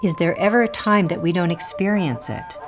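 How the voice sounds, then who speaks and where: Woman w a lowish voice reading a spiritual text, measured n deliberate, lots of music under it. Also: expressive. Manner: measured, deliberate, pausing between short phrases. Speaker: reader of a Buddhist teaching. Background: prominent music.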